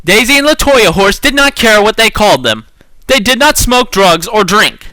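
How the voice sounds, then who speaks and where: Guy reading a kids story all dramatic, high voice, swoops up and down a lot. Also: lively intonation, normal speed, sharp tone, no room sound. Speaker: amateur reader.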